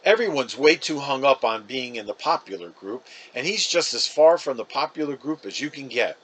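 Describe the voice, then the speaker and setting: Man voicing a chatty, defensive schoolboy, lively intonation. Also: mid-range voice, low-quality recording. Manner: casual, emphatic, conversational. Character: chatty, wounded, defensive fifth-grade schoolboy narrator.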